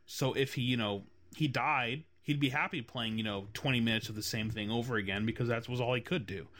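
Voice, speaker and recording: A man hosting a laid-back podcast about games. He talks at a middling pitch and wanders through his points with lots of fillers and restarts. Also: a little fast, fairly bright tone. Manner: rambling, many fillers like "you know" and "um". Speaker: podcast host. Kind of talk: casual podcast chat about game design.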